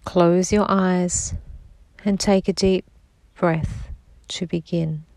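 A woman guiding a sleep meditation in a slow, soft-spoken, soothing manner. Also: fairly low pitch, fairly even intonation, vocal fry, no room sound. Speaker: meditation guide.

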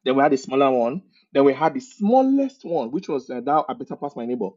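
A man with a Nigerian accent talking as a podcast guest, telling animated stories and laughing mid-sentence. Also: mid-range voice, brisk, muffled recording. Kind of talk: casual interview conversation.

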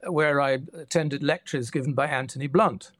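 Measured older Dutch-born man, dry sound. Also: a little high, fairly bright tone, no room sound. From Netherlands. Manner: measured, thoughtful, with frequent restarts. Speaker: guest, historian and writer. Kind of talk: reflective interview answers.

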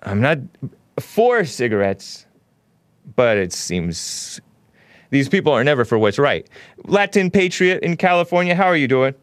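A man's voice: mid-range voice, sing-song, unhurried, dry recording, studio quality. A man hosting a casual call-in show, musing aloud in a laid-back way and chuckling often. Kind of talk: casual call-in radio chat.

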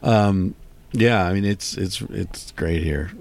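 Laid-back male host, dark mellow tone, easygoing and chatty. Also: deep voice, slow pace, vocal fry. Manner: relaxed, rambling, with many restarts. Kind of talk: casual interview chat. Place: front seat of a parked van, door open, rain.

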